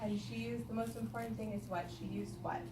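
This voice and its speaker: A woman's voice: a little high, flat delivery, brisk. A woman teaching young children in a classroom, directing them and prompting them with questions. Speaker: primary school teacher. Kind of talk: interactive classroom read-aloud discussion. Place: indoor school classroom. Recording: echoey distant classroom recording, clearly noisy.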